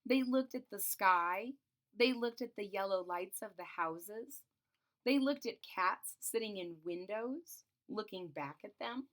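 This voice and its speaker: A woman's voice: medium pitch, unhurried, a bit bright. Woman reading a children's picture book aloud. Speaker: storybook reader and channel host.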